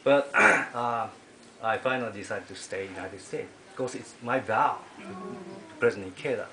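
A middle-aged man from Japan with a Japanese accent, giving a personal testimony to a small group at a home meeting, pausing often and clearing his throat. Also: mid-range voice, bright, forceful tone. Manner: halting, with frequent throat-clearing and filler pauses. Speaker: member sharing a testimony. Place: living room at a home gathering. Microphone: camera across the room. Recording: echoey room with background noise.

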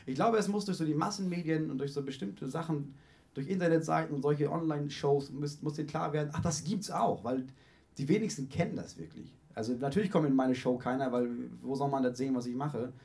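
Young man, wry comic guest, talks quickly in a slightly high voice, handheld mic on a small stage. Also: vocal fry, dark tone. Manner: quick, wry and self-mocking, acts out quoted voices. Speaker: guest comedian. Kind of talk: casual onstage chat. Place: small living-room stage with a live audience.

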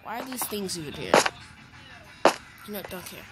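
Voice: mid-range voice, very animated, moderate pace, loud music behind the voice. A child improvising a Minecraft roleplay, on a noisy home recording with clicks. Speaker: gamer playing all parts in a roleplay video.

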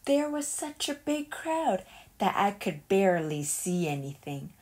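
A woman reading a children's picture book aloud as a storyteller, in an expressive and deliberate way. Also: medium pitch, slight background noise.

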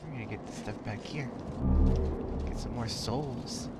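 A man's voice: mid-range voice, unhurried, over loud music. A man giving casual, slangy, profane commentary as he hosts a video game playthrough. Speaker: let's play host. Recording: loud game noise behind the voice.

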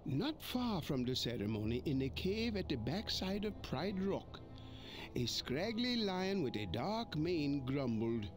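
An actor playing an old male mandrill elder, narrating a storybook read-along in an expressive way. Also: a little high, unhurried. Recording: music playing behind.

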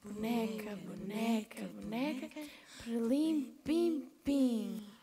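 Slow, theatrical woman over loud crowd. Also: a little high. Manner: drawn-out, theatrical. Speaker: children's entertainer. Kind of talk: playful stage show for kids. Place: outdoor fair stage. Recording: loud crowd on outdoor stage.

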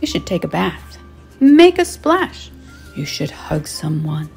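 A woman's voice: low-pitched, big pitch swings, takes it slowly, gravelly, a little mellow, faint music behind, full character voice. A woman voicing cheerful, pushy jungle animals in a storybook, exclaiming and urging. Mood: eager urging.

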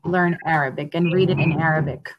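Young woman, from Honduras, headset call, thoughtful, fills pauses with um. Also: sits low, fairly even intonation, muffled recording. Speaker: language learner. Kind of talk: casual video call conversation. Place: home room.

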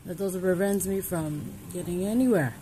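Young woman from hong kong, casual home vlog, rambling, restarts sentences, lowish voice, faint room hum. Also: moderate pace, warm tone, rough recording. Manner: rambling, restarts often, thinks aloud. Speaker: vlogger. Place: home, indoors.

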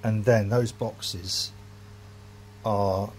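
A man's voice: on the low side, fairly even intonation, drawn-out words, a little mellow, a little hiss or hum. A man, rambling casually and self-mockingly while filming his home brewery workshop on a handheld phone.

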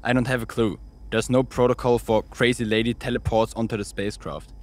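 A man acting a stern male crew leader, mid-range pitch, curt, sardonic, cautious, audio drama. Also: fairly even intonation, a little fast, close to an everyday voice. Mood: sardonic, firm.

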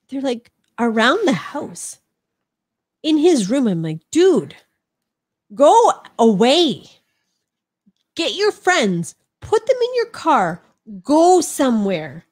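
Chatty middle-aged woman, sniffly. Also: high voice. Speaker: livestream co-host reading chat comments. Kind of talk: casual chat.

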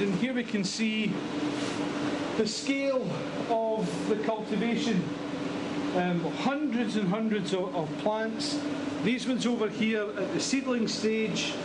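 Male police officer, fairly high voice, halting walkthrough, ums and uhs, loud background hum. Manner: halting, with many fillers and restarts. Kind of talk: on-site walkthrough commentary. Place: inside a house, walking room to room.

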